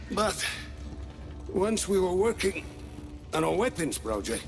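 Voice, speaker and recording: An actor playing an aging male weapons scientist in a video game cutscene, speaking in a measured, precise, explanatory way. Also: high voice, gravelly, exaggerated voice.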